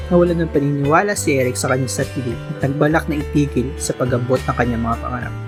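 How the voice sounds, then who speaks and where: A man narrating a radio drama in a formal, earnest storytelling tone. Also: fairly high pitch. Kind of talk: scripted narration. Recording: music playing behind.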